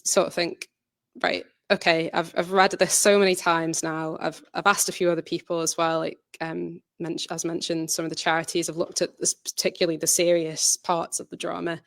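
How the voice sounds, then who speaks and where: A woman's voice: on the low side, level tone, moderate pace, creaky, no echo. A woman speaking as a writer at an informal question session, giving hesitant, reflective answers full of qualifications. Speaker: audio drama writer and presenter. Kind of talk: informal presentation Q&A, conversational answers.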